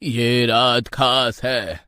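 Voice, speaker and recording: A man speaks gravely and slowly, weighing each word. The recording is dry and close. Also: medium pitch, steady tone, pushed and bright, no echo.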